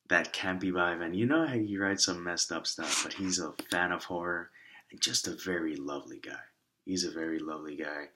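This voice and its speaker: A man with a raspy voice and a lingering cough, casually rambling through shoutouts with many restarts and asides. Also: a bass voice. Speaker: author and vlogger.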